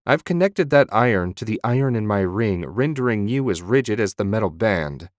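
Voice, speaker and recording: A man's voice: fairly low pitch, lively intonation, moderate pace, no echo, studio quality, lightly acted. A man voicing a sardonic, smug young nobleman who is a wizard in an audiobook.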